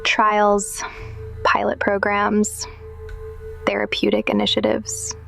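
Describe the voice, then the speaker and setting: An actress playing a dry, deadpan investigator reporting findings in a noir audio drama. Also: female voice, mid-range voice, slow pace, creaky, faint music behind, full character voice. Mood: dry, grave.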